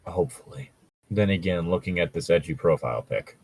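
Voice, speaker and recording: A man's voice: low-pitched, expressive, brisk, vocal fry, darkish tone, slightly rough, low-quality recording. A man co-hosting a podcast in casual banter and mock story reading, with a wry, sweary manner and a fondness for puns. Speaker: podcast co-host.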